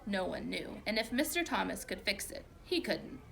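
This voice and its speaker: A woman reading a children's story aloud like a teacher, with expressive, emphatic narration. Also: mid-range voice, normal speed, vocal fry, slight background noise.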